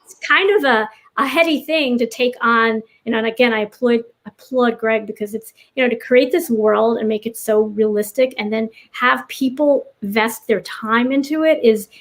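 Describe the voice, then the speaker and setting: Higher-voiced woman, earnest interview guest. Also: moderate pace, hardly any pauses. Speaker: writer, podcast guest. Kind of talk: casual interview chat.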